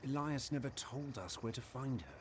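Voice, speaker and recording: A man's voice: medium pitch, moderate pace, slight background noise, lightly acted. A man playing a serious, plain-spoken wandering hero in a video game cutscene. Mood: sober.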